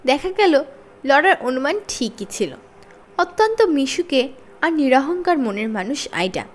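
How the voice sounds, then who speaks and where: A woman narrates a story for children. Her voice sits high and moves with lively swings in pitch. The reading is expressive, in the manner of a storyteller. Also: unhurried.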